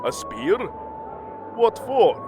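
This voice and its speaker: A man's voice: high voice, sing-song, extremely slow, over music, heavily acted. A man playing a grand, protective father god, theatrical and emphatic, in an audio drama. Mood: amused, doubtful.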